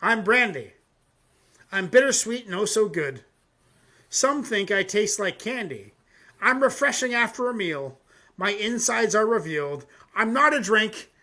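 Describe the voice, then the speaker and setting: A man with a high, bright voice hosts a live music reaction stream. He talks loosely and profanely, packed with fillers, and now and then reads out his own joking poems. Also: very animated, unhurried. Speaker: host of a music reaction show. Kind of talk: casual live-stream chat.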